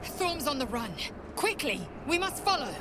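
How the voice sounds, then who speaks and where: High, animated determined adventurer. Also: female voice, normal speed, background noise. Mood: urgent, grim. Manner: terse, decisive. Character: determined, urgent female fantasy adventurer. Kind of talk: dramatic video game acting.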